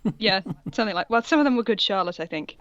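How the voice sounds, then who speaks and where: A woman's voice: medium pitch, animated, racing, a bit bright, no room sound, highs cut off. A woman co-hosting a comedy podcast, reading fan fiction aloud and breaking off for sarcastic, jokey comments.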